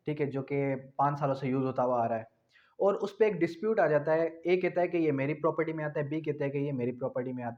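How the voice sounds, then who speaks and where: A man's voice: fairly high pitch, a little mellow. A man with a Pakistani accent gives an explanatory law lecture, often repeating points and answering his own questions. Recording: dull, lo-fi sound.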